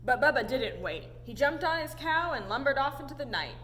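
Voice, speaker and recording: A woman reads a children's storybook aloud. Her voice sits fairly high and moves around with lots of expression. The room rings like a hall, with some noise behind her. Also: big pitch swings, brisk, fairly bright tone. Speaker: story time reader. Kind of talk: animated storybook read-aloud. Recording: echoey hall, audible background noise.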